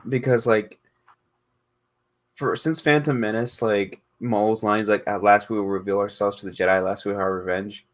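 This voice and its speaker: A man's voice: lowish voice, steady tone, normal speed. A man co-hosting a podcast, speaking casually in a fan discussion. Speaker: podcast co-host.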